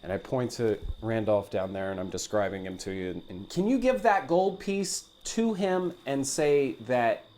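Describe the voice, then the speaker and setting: A man's voice: medium pitch, moderate pace, hardly any pauses, darkish tone, a little hiss or hum. A man chatting casually as a player in a tabletop role-playing game, describing what his character does.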